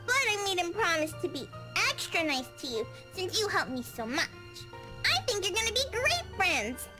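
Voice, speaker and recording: A woman's voice: very high-pitched, big pitch swings, normal speed, over loud music. A woman voicing a cheerful, innocent little girl in a visual novel game, with an eager, chatty, childlike manner.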